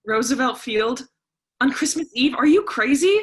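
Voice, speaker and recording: A woman's voice: sits high, lively intonation, normal speed, a bit bright. A woman playing a grieving, defiant eighteen-year-old American daughter in a play reading, emotional and argumentative. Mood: indignant, hurt.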